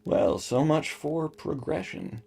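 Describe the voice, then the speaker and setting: An actor playing an earnest, melancholy scientist who speaks haltingly and formally in a science fiction audio drama. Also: male voice, fairly high pitch, expressive, vocal fry, darkish tone, slight background noise, exaggerated voice. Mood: resigned. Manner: halting, deliberate, formal wording. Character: male scientist, earnest, melancholy, cerebral, given to theorizing.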